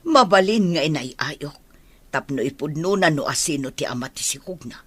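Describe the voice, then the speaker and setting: A woman's voice: low-pitched, lively intonation, moderate pace, a bit bright, husky, dry recording, heavily acted. A woman voicing a worried middle-aged mother with an Ilocano accent, pleading for her daughter in a radio drama. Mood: reproachful, worried. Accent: Filipino, Ilocano. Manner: pleading, reproachful.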